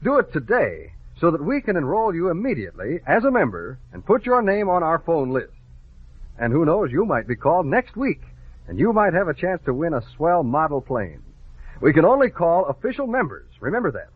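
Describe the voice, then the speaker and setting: A man's voice: on the high side, wildly swooping pitch, fairly quick, husky, narrow, tinny audio. A man with an American accent, announcing on a 1950s children's radio show, urging young listeners in a cheery, direct way on an old broadcast recording.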